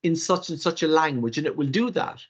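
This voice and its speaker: Husky older Irish man giving an animated webinar lecture. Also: on the high side, fairly quick. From Ireland. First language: Irish Gaelic. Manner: animated, rhetorical, with theatrical asides. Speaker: workshop presenter. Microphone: video call. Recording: dull lo-fi webinar audio.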